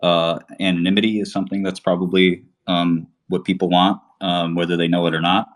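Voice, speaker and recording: A man's voice: sits low, level tone, a little fast. A man from Albania talking casually on a livestream, rambling with many fillers and restarts. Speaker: podcast host.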